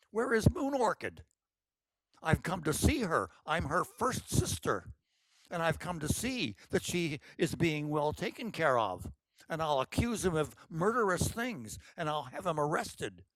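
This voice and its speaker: A man's voice: on the high side, very animated, a little slow, no room sound, pitched above the performer's own voice, heavily acted, rough recording. A man voicing a bossy, scheming older woman who talks in a pushy, dramatic way.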